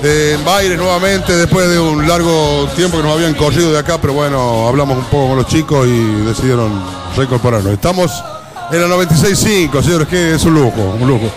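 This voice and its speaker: A man's voice: a little high, fairly quick. A middle-aged man with an Argentine Rioplatense accent, chatting casually as a radio co-host. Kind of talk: casual live radio banter. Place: bar, live radio broadcast. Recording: music behind, live from a bar. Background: music.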